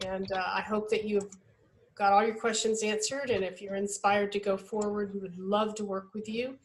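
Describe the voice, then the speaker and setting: A woman's voice: medium pitch, flat delivery, normal speed, slight background noise. A woman admissions advisor answering questions in a webinar over a video call, talking in an emphatic, upbeat, conversational way.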